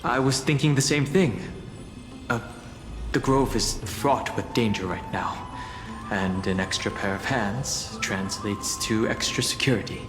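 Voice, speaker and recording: Man playing a low, reserved young guard. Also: a little slow, lightly acted. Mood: composed, practical. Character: calm, reserved, dependable young male guard. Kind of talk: video game voice acting. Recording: echoey hall reverb, clear music underneath.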